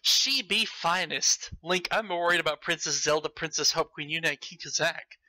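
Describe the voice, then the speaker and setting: A man's voice: fairly high pitch, steady tone, normal speed, sharp tone, no room sound, rough recording. A man reading fan fiction aloud with theatrical, mocking delivery and breaking off to comment. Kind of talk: comedic dramatic read-aloud with commentary.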